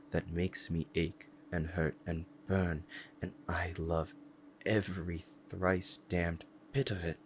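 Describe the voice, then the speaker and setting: Proud demon lover, played by a man, deep-voiced, intense and deliberate, ASMR roleplay, faint background noise. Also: a bit bright, exaggerated voice. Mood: tender, yearning. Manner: intense, deliberate, dramatic pauses. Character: powerful possessive demon bound to a sorcerer, devoted, proud. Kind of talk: ASMR roleplay acting, dramatic monologue.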